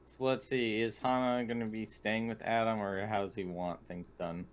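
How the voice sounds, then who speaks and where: A man's voice: fairly low pitch, flat delivery, normal speed. A man chatting casually as a player in an online tabletop game. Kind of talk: casual chat. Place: online voice call.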